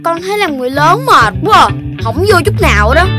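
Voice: very high voice, big pitch swings, fairly quick. An actor voicing an eager, playful, outspoken little child in a children's cartoon. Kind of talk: children's animated cartoon voice acting. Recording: music under the voice.

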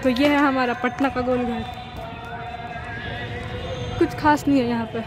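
A woman's voice: high voice, steady tone, unhurried, music in the background. A young woman from Bihar, India, talking casually to viewers as a travel vlogger. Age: young adult. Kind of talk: casual vlog narration to viewers. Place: mostly outdoors in a city. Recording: loud outdoor street and traffic noise.

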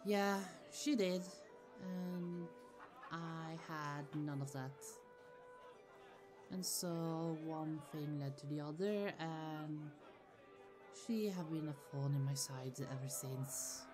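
A woman's voice: low voice, slow. A woman playing a protective, easily annoyed sorceress sister in a fantasy audio roleplay, chatty, affectionate and firm. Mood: reassuring, confiding. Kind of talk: ASMR roleplay acting. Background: music.

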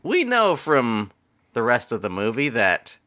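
Lively, bright-voiced podcast man. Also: medium pitch, a little slow. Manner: animated, jokey, drifts into silly bits. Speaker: podcast co-host. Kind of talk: casual movie review chat. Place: home room. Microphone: studio mic with headphones.

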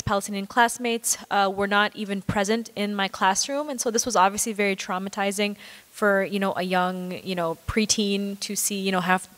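A woman from Minnesota telling her personal story on a panel, measured and earnest, with many fillers. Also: medium pitch. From United States, Minnesota. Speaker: panelist journalist. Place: large hall stage. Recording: hall reverb, far off.

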